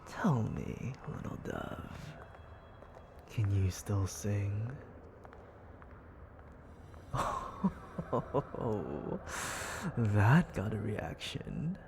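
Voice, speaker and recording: A man voicing a cruel, condescending demon lord in an ASMR audio roleplay, murmuring slow taunts at the listener with a breathy, creaky voice. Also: on the low side, noisy background, exaggerated voice. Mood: teasing, taunting. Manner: condescending, taunting, languid and deliberate. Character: smug, menacing male demon lord, cruel and toying.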